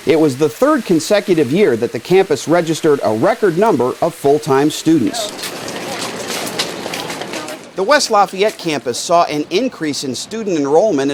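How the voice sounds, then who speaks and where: An American man reading scripted newsreel narration in a measured announcer's delivery. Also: a little high, slight background noise. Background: music.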